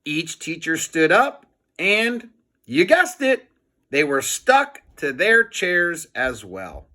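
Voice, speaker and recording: Male children's storybook reader: expressive, unhurried, with a lot of swing in the pitch. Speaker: teacher reading a children's book aloud.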